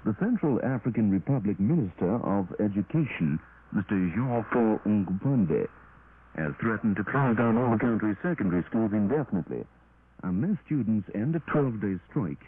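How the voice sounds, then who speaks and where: A man reading a formal scripted news bulletin with measured enunciation, on a 1980s shortwave radio broadcast. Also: fairly low pitch, very animated. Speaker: radio newsreader. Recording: tinny, noisy 1980s shortwave radio recording.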